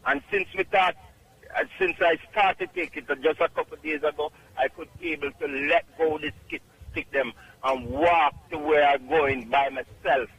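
A middle-aged man from Jamaica with a Jamaican accent, calling in to a radio show and speaking in an emphatic, halting, repetitive way. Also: a little high, fairly bright tone, dull audio. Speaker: caller. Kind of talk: radio call-in testimonial.

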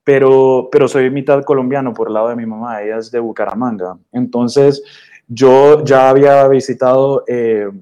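Young adult man from Honduras, DJ guest; mellow, warm tone; easygoing, halting delivery. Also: mid-range voice, steady tone, highs cut off. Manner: hesitant, many fillers and restarts. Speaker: guest DJ and producer. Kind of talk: relaxed storytelling interview answers. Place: radio studio.

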